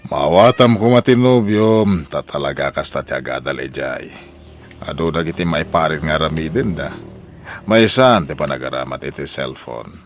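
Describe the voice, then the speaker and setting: Very deep-voiced Ilocano father, acted by a man. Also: animated, moderate pace. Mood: reassuring. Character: understanding, advising father of grown daughter. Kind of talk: Ilocano radio-style audio drama acting.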